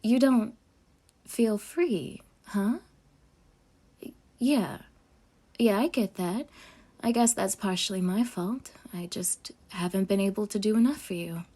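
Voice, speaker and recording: A woman playing a sweetly possessive, obsessed girlfriend in an intimate roleplay audio, coaxing and dramatic with breathy asides. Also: medium pitch, takes it slowly, long pauses, dark tone. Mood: hurt, pleading. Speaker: ASMR voice actor.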